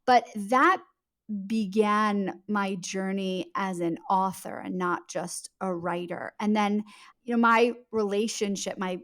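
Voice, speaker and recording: A woman's voice: medium pitch, slow pace, fairly bright tone. A woman speaking as an author and TV producer in a conversational interview, telling stories in a reflective, candid and emphatic way, often repeating words for stress. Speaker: author and TV producer, podcast guest. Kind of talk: conversational interview answers, storytelling.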